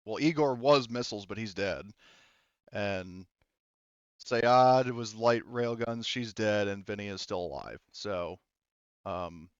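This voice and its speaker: A man with a clear, smooth voice runs a tabletop roleplaying game. He talks informally and works things out aloud as he goes. The sound is very dry, with slightly muffled highs. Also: takes it slowly, no echo. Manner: informal, sweary, hesitant, thinking aloud. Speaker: game master. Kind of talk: casual tabletop game narration.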